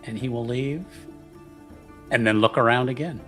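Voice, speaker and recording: A man's voice: medium pitch, moderate pace, fairly bright tone, soft background music. A man casually describing his character's actions as a player in a tabletop game, in a loose, jokey way. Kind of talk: casual improvised game chat.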